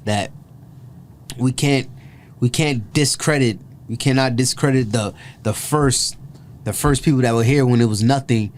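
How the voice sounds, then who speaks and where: A man's voice: medium pitch, unhurried, a little hiss or hum. A man hosting a casual reaction chat, rambling and filling his speech with "like" and "yo". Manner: rambling, heavy with "like" and "yo", restarts often. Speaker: host.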